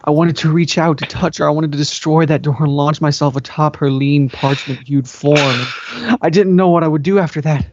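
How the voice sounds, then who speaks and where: A man's voice: fairly high pitch, fairly even intonation, a little fast, darkish tone, lo-fi sound. A man giving an amateur dramatic reading of fan fiction as a story narrator. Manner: breaks into laughter at the text.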